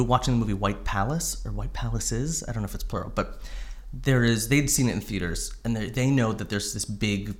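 A man in his thirties from Connecticut, a guest writer telling casual, self-deprecating stories in a studio interview. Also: on the low side. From Connecticut, USA. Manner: quick, chatty, self-deprecating anecdotes. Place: studio in New York.

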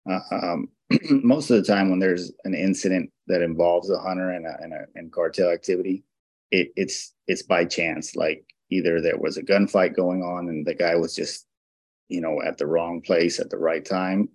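A man's voice: sits low, steady tone, moderate pace, a little mellow, no room sound. A man who is a guest on a hunting radio show, giving casual interview answers with a lot of hesitation and restarts. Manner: hesitant, many restarts and fillers.

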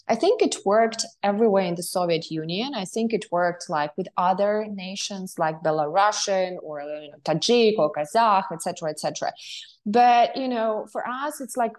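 A woman's voice: mid-range voice, a little mellow. A young adult woman with a Ukrainian accent, speaking English as a second language as an interview guest, earnest and reflective, with many fillers and restarts. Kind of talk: conversational interview answer.

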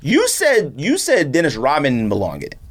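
Very animated man debating sports casually. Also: a little high, a little fast. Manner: slangy, insistent.

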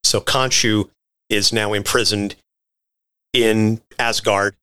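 A man's voice: lowish voice, steady tone, takes it slowly, sharp tone, smooth. A man co-hosting a podcast, explaining comic book history in a conversational, articulate and wordy way. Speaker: podcast co-host.

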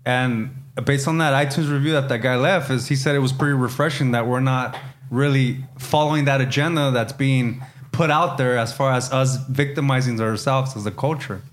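A man's voice: medium pitch, steady tone, normal speed. Blunt man over background noise. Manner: blunt, profane, slangy. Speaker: podcast co-host. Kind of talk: casual chat.

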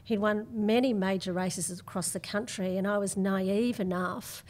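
An Australian woman speaks as a guest in a conversational interview. Her voice sits at a medium pitch, and her delivery is measured and reflective, like personal storytelling. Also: fairly even intonation, a little mellow. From Melbourne, Australia. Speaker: podcast guest.

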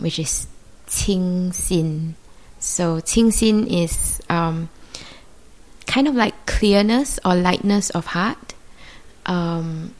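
Low-voiced woman, thoughtful and unhurried. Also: poor audio. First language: Mandarin. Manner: thoughtful, searching, many pauses and fillers. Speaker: guest. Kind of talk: reflective conversation.

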